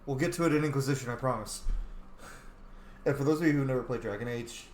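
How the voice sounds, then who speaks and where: A man's voice: mid-range voice, quick pace, a little hiss or hum. A man giving chatty, joking commentary while playing a game. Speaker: gamer. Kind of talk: casual gameplay commentary. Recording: echoey room mic.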